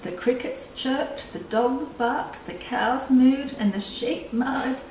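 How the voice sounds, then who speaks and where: An older woman reading a picture book aloud to children, deliberate and drawn-out, with little asides. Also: high-pitched, darkish tone. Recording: echoey room, distant mic, faint noise.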